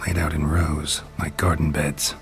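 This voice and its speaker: A man playing a stoic, gruff monster hunter in a video game, terse and deadpan with a low gravelly voice. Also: a little slow. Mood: muttering, investigating. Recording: game audio under background music.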